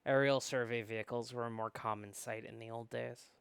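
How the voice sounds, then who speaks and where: A co-host of a book club who chats casually and reads a visual novel aloud, animated and prone to rambling asides. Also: mid-range voice, fairly quick, gravelly, clear, resonant tone, dry recording. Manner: animated, rambling, self-interrupting. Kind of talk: casual chat mixed with dramatic read-aloud.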